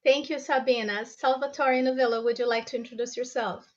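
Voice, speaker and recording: A woman's voice: on the high side, fairly even intonation, brisk, a little mellow. Brazilian teacher woman hosting a video call. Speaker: host, English teacher. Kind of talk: online group video call. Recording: dull lo-fi call audio.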